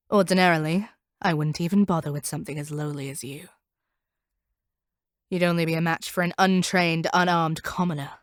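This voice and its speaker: Fantasy audio drama: actress as a ruthless, commanding woman monster hunter. Lowish register, haughty and stern delivery, very dry sound. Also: dry recording, natural voice. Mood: commanding, scornful. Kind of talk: scripted audio roleplay acting.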